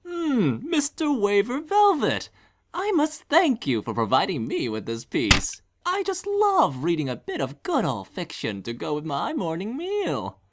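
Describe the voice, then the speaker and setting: Pompous, wildly swooping mage professor. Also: male voice, high-pitched, wildly swooping pitch, measured pace, heavily acted. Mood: mocking. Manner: pompous, sneering. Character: pompous, condescending, mocking male magic professor. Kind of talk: comedic anime parody dub.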